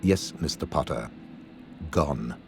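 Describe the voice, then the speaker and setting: An actor playing a stern, sneering wizard schoolteacher in a video game, speaking slowly and sardonically. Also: male voice, extremely low pitch, lively intonation, over music, full character voice. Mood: curt, dismissive. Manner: slow, sardonic, deliberate delivery. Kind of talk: video game cutscene acting.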